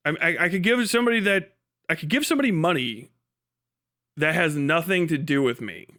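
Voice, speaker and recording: A man with a fairly high, clear voice hosts a livestream in loose, chatty fashion. His delivery is bright and forward, peppered with swearing and dry, dismissive sarcasm. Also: animated, fairly quick. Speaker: livestream host. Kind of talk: casual livestream chat.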